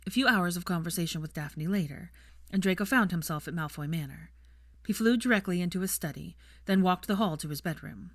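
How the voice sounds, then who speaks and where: A woman's voice: on the low side, a little fast, no room sound, studio quality. A woman reading fiction aloud as a scripted story narration.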